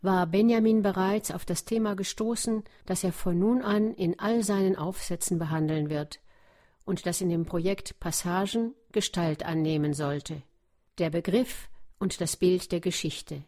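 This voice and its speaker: Low, mellow woman narrator. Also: a little slow. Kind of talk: scripted documentary narration.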